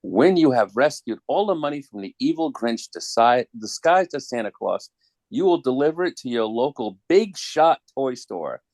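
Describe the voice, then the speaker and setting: A cheeky comic-book kid acted out by an adult, slangy and wide-eyed. The pitch leaps around with childlike eagerness, and the sound is close and dry. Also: sing-song, moderate pace, darkish tone, dry recording. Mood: entranced reciting. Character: cheeky, excitable young boy, hypnotized into robbery. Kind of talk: dramatic comic-book table read.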